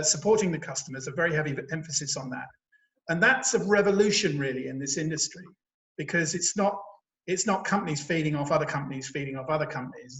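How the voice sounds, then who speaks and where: In a roomy, echoing call recording, a middle-aged British man speaks in a slightly high voice. He is an interviewer, conversational and discursive as he leads up to each question. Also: fairly bright tone. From United Kingdom. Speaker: interviewer, sales director. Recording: echoey room on a call.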